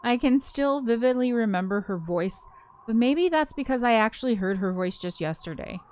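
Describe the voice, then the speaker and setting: A woman reading a visual novel aloud as a game co-host, expressive in the story and giggly in her casual asides. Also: on the high side, moderate pace, smooth. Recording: music playing behind the voice. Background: game soundtrack music.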